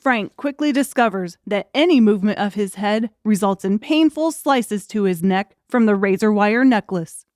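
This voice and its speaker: A woman's voice: mid-range voice, animated, moderate pace, a little mellow, dry recording, studio quality. A woman reading scripted audiobook narration as the storyteller.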